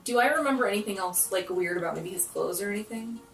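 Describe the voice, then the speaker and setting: A woman's voice: medium pitch, quick pace, vocal fry, a bit bright, faint music behind. Woman game player, roomy distant sound. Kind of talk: casual game-table chat.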